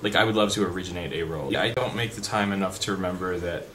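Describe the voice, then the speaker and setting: A young man with a deep voice chatting unscripted in a vlog, rambling with fillers and restarts. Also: quick pace, a bit bright. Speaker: vlogger. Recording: background noise.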